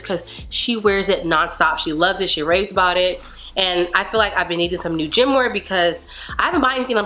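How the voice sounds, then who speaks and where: A young woman with a cold and a slightly stuffy, nasal voice, chatting animatedly in a casual haul review from her couch at home. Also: fairly low pitch. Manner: animated, talks with her hands. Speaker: beauty and fitness vlogger. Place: living room, seated on a leather couch indoors.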